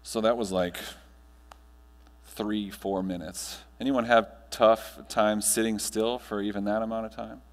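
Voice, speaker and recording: An American man in his thirties speaking as a trainer to an audience, guiding them in a measured, instructional way with deliberate pauses. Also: lowish voice, fairly even intonation, in a large hall. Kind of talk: guided breathing meditation and talk to an audience. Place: large hotel conference ballroom, on stage.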